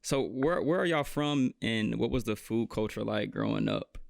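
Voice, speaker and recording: A man's voice: fairly low pitch, moderate pace, gravelly, no echo, clean studio sound. A man hosting a casual podcast interview, laid-back and slangy. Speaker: podcast host. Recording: dull lo-fi audio.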